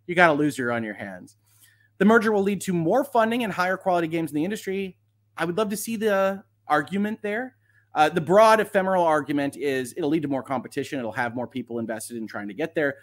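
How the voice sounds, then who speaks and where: A man's voice: a little high, fairly bright tone. A man hosting live legal commentary, reading points aloud and reacting off the cuff in an analytical, lawyerly way. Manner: analytical, measured hedging, lawyerly vocabulary.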